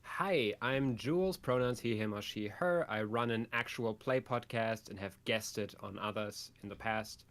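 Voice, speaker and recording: A man's voice: on the low side, measured pace, hardly any pauses, a bit bright. A man who runs a podcast, chatting on a video call with brief, dry remarks. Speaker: podcast game master. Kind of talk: casual roundtable chat.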